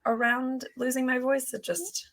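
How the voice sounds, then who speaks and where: A young woman co-hosting a podcast in casual chat, her voice hoarse and scratchy from losing it, laughing often. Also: high-pitched, unhurried, a bit bright. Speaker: podcast co-host.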